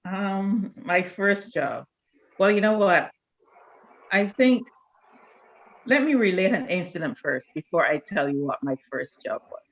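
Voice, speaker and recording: An older woman, reminiscing in a measured, deliberate way as an interview guest. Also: on the low side, expressive, muffled recording. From Barbados. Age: older, around 70. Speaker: church elder, interview guest.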